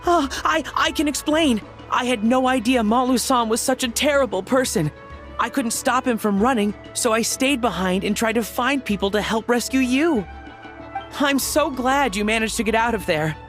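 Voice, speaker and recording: A man's voice: very high voice, moderate pace, fairly bright tone, faint music behind. A man playing a meek, apologetic young hired underling in a video game, hesitant and pleading. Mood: nervous, apologetic.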